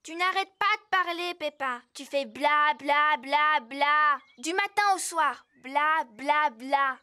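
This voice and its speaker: A woman's voice: sits high, takes it slowly, pushed and bright, exaggerated voice. A woman voicing a frank, childlike little girl sheep in a French children's cartoon dub. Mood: teasing.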